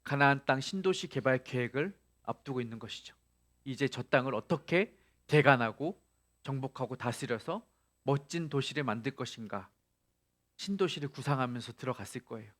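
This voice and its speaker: A man's voice: medium pitch, expressive, moderate pace, a bit bright. A male pastor preaching a sermon, asking the congregation rhetorical questions and rising to emphatic peaks.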